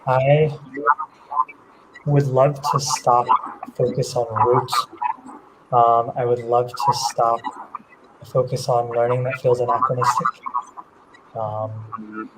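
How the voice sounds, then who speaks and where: A man's voice: medium pitch, flat delivery, slow, pauses often. A man speaking as an education panelist, articulate and earnest, stressing his points through repetition. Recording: very noisy online call with clicks.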